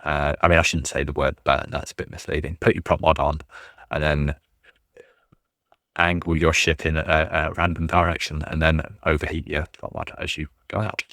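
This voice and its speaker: Casting: male fleet commander, very deep gravelly voice, loose rambling Q&A delivery, dry close mic. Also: flat delivery, normal speed, a bit bright, no room sound. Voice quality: low voice. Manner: rambling, many ums and restarts, self-deprecating. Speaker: fleet commander answering questions. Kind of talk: casual livestream Q&A.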